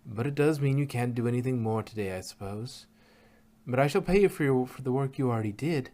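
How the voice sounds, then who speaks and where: A man's voice: medium pitch, brisk, mellow, lightly acted. A man voicing a refined, patient female unicorn dressmaker with genteel, careful wording in a fan fiction reading. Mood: tactful.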